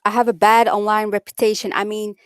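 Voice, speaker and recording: A woman's voice: mid-range voice, little pitch movement, brisk, clean tone, dry recording, clean studio sound. Blunt woman with a Dutch accent. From Netherlands. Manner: blunt, outspoken, says it like it is. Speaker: live-stream guest answering viewer comments. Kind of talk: live-stream chat with viewers.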